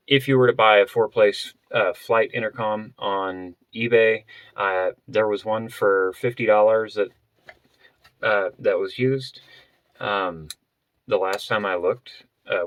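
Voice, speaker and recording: A man vlogger explaining gear in a casual, unscripted way, halting and often rephrasing as he goes. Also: lowish voice, steady tone, no room sound. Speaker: vlogger presenting a budget gear setup.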